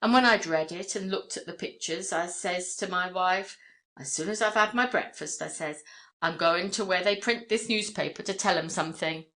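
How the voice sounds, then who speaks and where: A woman's voice: on the low side, moderate pace, sharp tone. A woman voicing a shy, genial middle-aged farmer with a rustic English accent and a rambling, deferential, folksy manner in a story reading. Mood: knowing, folksy.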